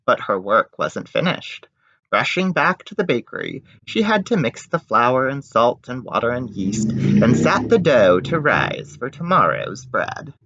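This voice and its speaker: A man's voice: medium pitch, sing-song, unhurried, fairly bright tone. A man reading a picture book aloud to children like a teacher, expressively and with chatty asides. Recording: dull, echoey room recording.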